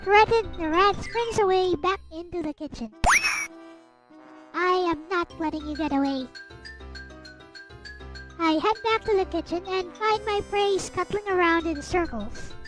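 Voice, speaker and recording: Very high, girlish-voiced woman streamer. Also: moderate pace. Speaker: streamer, host. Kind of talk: reading a visual novel aloud with casual commentary. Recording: music behind the voice.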